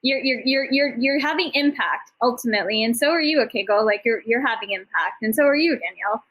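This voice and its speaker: A woman's voice: on the high side, fairly quick, fairly bright tone, low-quality recording. A middle-aged woman speaking informally as a summit panel host, encouraging and effusive, rambling conversationally through anecdotes and motivation.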